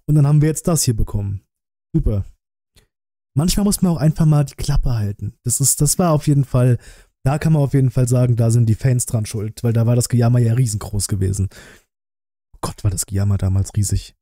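Very bright-voiced man, blunt and slangy. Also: medium pitch, a little fast, no room sound, studio quality. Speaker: reaction streamer. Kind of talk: casual reaction commentary. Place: small indoor streaming room. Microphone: close desk microphone.

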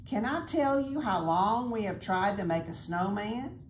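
A woman voicing a polite, shy puffin in a children's storybook, speaking gently and earnestly. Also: mid-range voice, animated, measured pace, echoey room, slight background noise, lightly acted.

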